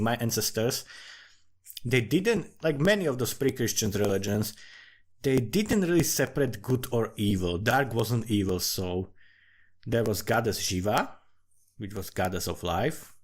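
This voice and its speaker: Low-voiced man with a Slavic accent, rambling casually. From Slavic country. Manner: informal, frequently swearing, halting non-native English phrasing. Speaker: amateur writer.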